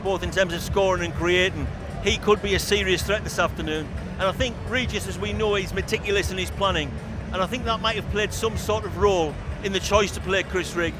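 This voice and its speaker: A man's voice: high-pitched, low-quality recording. A man talking as a guest on a live pre-match football show, upbeat and emphatic, speaking outdoors at a stadium. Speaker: studio guest. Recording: loud outdoor noise behind.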